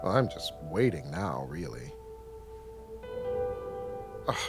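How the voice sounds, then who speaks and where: A man acting a regretful, lonely character looking back on lost time, speaking haltingly and with sighs. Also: deep voice, sing-song, vocal fry, loud music behind the voice, full character voice. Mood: regretful, anguished. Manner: halting, sighing, wistful.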